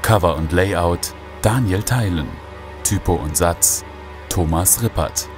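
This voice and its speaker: A man reading a scripted announcement as an audio drama announcer, formal and clearly articulated. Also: deep voice, takes it slowly. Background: music.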